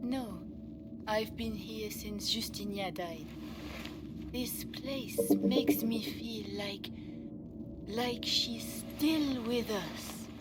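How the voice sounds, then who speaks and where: An actress playing a meek, reverent religious sister in a video game cutscene, hesitant and deferential. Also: female voice, fairly high pitch, very slow, over loud music. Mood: tender, surprised. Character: meek, reverent chantry sister, adult woman. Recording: noisy ambience behind the voice.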